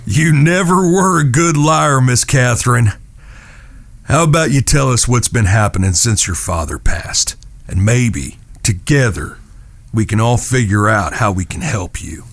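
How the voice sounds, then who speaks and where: A shrewd frontier gunslinger acted by a man with a creaky, gravelly voice and drawl. He is measured, folksy and probing, recorded close and dry for a western radio play. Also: medium pitch, expressive, no room sound. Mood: firm. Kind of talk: western audio drama acting.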